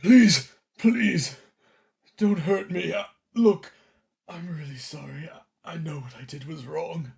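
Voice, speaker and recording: A man playing a washed-up older children's TV entertainer in a radio play, contrite and theatrical, with a creaky voice. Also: on the high side, expressive. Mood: pleading, remorseful. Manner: dramatic, halting pleading and grand showman delivery.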